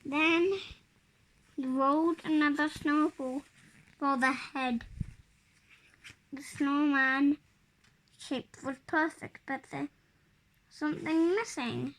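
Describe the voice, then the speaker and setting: Young child reading slowly, high-pitched. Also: fairly even intonation, a bit bright, slight background noise, rough recording. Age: 5-year-old child. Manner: halting, word-by-word early reader. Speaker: child reader. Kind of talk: reading a picture storybook aloud.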